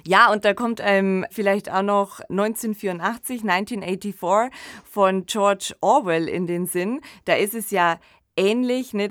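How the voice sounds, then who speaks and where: A woman's voice: mid-range voice, a bit bright, dry recording, clean studio sound. A woman co-hosting a discussion podcast, explaining things in an articulate, conversational way. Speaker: podcast co-host. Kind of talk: conversational radio discussion of science fiction.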